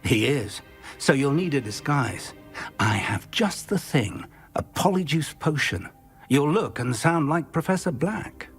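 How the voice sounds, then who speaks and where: A man's voice: fairly high pitch, animated, unhurried, soft background music. A man playing a wry, kindly, scholarly professor in a video game, formal and thoughtful in his speech. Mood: thoughtful, urgent. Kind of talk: video game cutscene acting.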